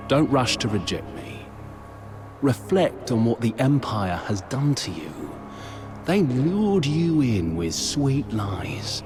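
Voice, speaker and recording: An actor playing a vengeful, persuasive and menacing demon rebel leader in a video game, speaking in a theatrical, oratorical manner. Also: male voice, fairly low pitch. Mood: sinister, persuasive. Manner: theatrical, oratorical, deliberate emphasis. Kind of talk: dramatic video game voice acting. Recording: music under the voice.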